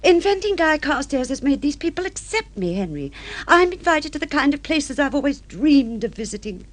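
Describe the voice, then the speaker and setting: A middle-aged wife with grand social dreams, in vintage radio drama style. Her voice is fairly high and sweeps up and down with theatrical, affected gushing. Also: very animated, normal speed. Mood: pleading. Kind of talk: mid-century radio drama acting.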